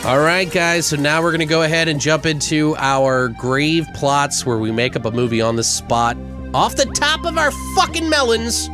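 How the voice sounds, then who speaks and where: A man's voice: on the high side, expressive, moderate pace, music in the background. A man hosting a podcast in casual comedic banter, rambling and slangy with plenty of swearing as he improvises ideas. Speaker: podcast host.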